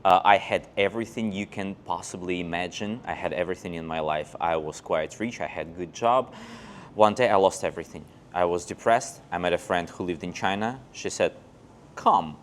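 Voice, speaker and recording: Low-voiced man from Belarus, chatty. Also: moderate pace, slight background noise. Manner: chatty storyteller, acts out quoted dialogue. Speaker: English teacher, guest. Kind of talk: casual interview answers. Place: outdoors on a paved old street and in a cafe. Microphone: lapel mic.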